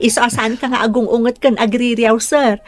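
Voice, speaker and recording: Young female caregiver, played by a woman, radio drama. Ilocano accent, highish voice, playful, frank, caring; music bed. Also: normal speed, natural voice. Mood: teasing, playful.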